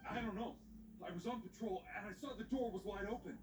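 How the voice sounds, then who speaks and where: An actor playing an alarmed, flustered young knight on patrol in a video game. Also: male voice, high voice, fast, roomy sound, recorded from a distance, noisy background, close to an everyday voice. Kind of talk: dramatic video game voice acting.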